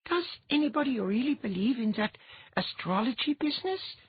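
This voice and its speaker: A husky-voiced audiobook reader voicing an eager, curious boy with a blunt, matter-of-fact way of talking. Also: mid-range voice, lively intonation, moderate pace, no room sound, lo-fi sound, voice pushed up. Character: eager, curious boy who reads minds.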